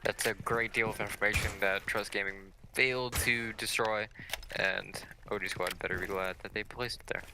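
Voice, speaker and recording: A man's voice: lowish voice, fairly even intonation, normal speed, background noise. A man giving live esports analysis as a co-commentator, conversational and opinionated.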